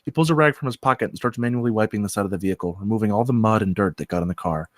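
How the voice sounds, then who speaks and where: A man's voice: fairly low pitch, racing, dry recording, studio quality. A man reading screenplay stage directions aloud as scripted narration.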